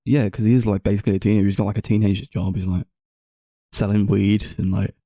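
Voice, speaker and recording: Dry-sounding low, creaky man, casual. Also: level tone, quick pace, mellow, dry recording. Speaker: film podcast co-host. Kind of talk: casual film discussion.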